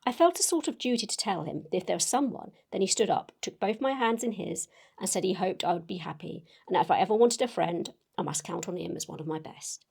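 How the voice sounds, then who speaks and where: A woman voicing an excitable young Victorian lady reading out her sentimental letter in a gushing, earnest way. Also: lowish voice, quick pace, vocal fry, husky, full character voice. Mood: tender, earnest. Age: young adult.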